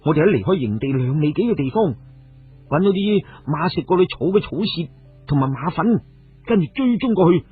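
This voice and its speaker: A man voicing a fearless, hot-headed young fighter in a radio story, excitable and bold. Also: fairly high pitch, a little fast, breathy edge. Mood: excited. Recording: phone-like, muffled audio with music.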